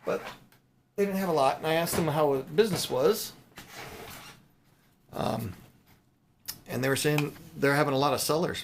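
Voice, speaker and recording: A man's voice: mid-range voice, slight background noise. A man chatting casually and unscripted to viewers as a hobbyist host, informal and rambling, thinking aloud as he goes. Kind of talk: casual unscripted chat to viewers while showing items.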